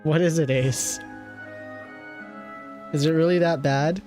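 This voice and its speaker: A woman's voice: sits low, fairly even intonation, slow pace, mellow. A woman streaming a game, chatting casually with offhand, playful asides. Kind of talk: casual livestream chat. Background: faint game music.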